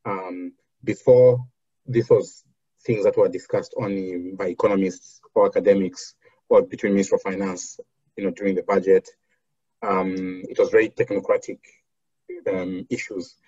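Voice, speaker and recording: A man from Zambia, a foundation program officer, answering interview questions in a measured way with many fillers and restarts. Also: deep voice, steady tone, mellow, husky, dry recording, lo-fi sound.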